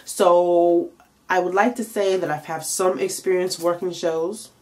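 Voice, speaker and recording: A woman's voice: lowish voice, steady tone, a little slow, a little mellow. A tired, congested woman giving chatty career advice to camera as a makeup and nail artist, with plenty of fillers. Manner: chatty, frequent fillers, emphatic rhetorical questions. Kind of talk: casual advice vlog to camera.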